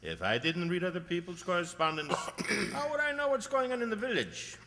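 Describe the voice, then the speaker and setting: A man's voice: high voice, very animated, normal speed, pushed and bright, exaggerated voice. A man playing a cheerful, nosy, talkative village postman with a folksy, self-satisfied manner in a radio drama. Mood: chatty, proud. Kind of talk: amateur radio drama acting. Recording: long hall-like reverb.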